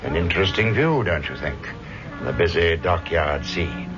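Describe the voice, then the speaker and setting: An actor playing a suave, cruel criminal mastermind with silky, formal, mocking politeness, in 1960s radio drama style. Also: male voice, low voice, expressive, normal speed, music in the background. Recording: muffled vintage radio recording, faint noise.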